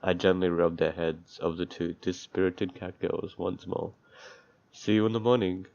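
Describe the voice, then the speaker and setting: A man with a deep, creaky voice reads visual novel text aloud as he plays. He breaks in with crude, sardonic, sweary asides. The mic is dull and lo-fi, with game music behind him. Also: level tone, normal speed, darkish tone. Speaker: streamer playing a visual novel. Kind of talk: let's play, reading game text aloud with commentary.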